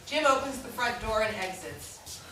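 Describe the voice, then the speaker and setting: A woman's voice: on the high side, lively intonation, moderate pace. A woman reading stage directions as scripted narration at a staged play reading. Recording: very echoey room, distant mic, faint hiss.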